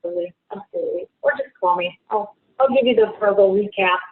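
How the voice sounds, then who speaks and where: A woman's voice: medium pitch, quick pace, slightly rough. A woman from Ontario hosting a travel webinar, chatty and reassuring on a video call. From Ontario, Canada. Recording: tinny phone-like call audio.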